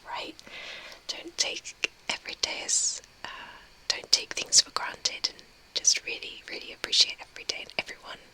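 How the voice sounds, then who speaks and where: A young woman whispering softly as she reads and answers a live chat, a little tearful. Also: rough recording. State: tearful, recently crying. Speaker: ASMR streamer. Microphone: webcam mic.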